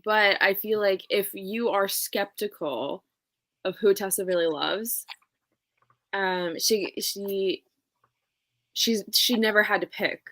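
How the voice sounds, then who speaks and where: A woman's voice: medium pitch, slow, long pauses, a bit bright, dry recording. Casual woman co-host with a dry, close sound. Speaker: co-host of a book readalong live show. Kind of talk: casual book chat.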